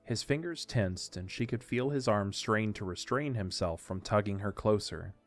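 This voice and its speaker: A man's voice: fairly low pitch, normal speed. A man reading scripted narration for an audio drama story. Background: faint music.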